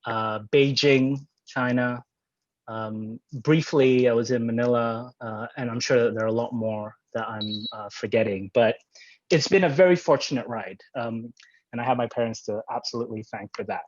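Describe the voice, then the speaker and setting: A man's voice: mid-range voice, normal speed, no room sound. A man answering interview questions over a video call, hesitant and reflective with many fillers. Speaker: interview guest. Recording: dry, dull video-call audio.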